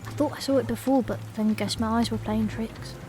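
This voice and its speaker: A woman playing a small, watchful, uneasy captive in an audiobook, speaking in a hushed, hesitant, wondering way. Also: a little high, fairly even intonation, a little fast, music in the background, lightly acted. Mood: apprehensive. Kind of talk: acted audiobook dialogue.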